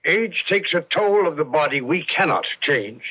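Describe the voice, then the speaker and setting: An actor playing a patient, condescending cosmetic surgeon who protests and placates in an old radio drama. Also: male voice, a little high, very animated, normal speed, breathy edge. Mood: placating, exasperated. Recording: dull old radio recording.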